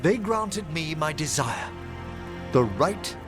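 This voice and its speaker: An actor playing a refined older necromancer scholar in a video game, gentle and wistful, with formal, eloquent, measured phrasing. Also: male voice, fairly high pitch, very animated, music in the background. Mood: solemn pride. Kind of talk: video game dialogue acting.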